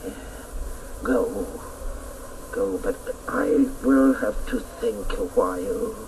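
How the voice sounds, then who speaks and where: An actor playing a stern, sorrowful guardian of a mountain crag, with slow, dramatic delivery in a radio play. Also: male voice, on the low side. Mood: troubled, grave. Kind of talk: theatrical radio play acting. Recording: noisy old radio play recording.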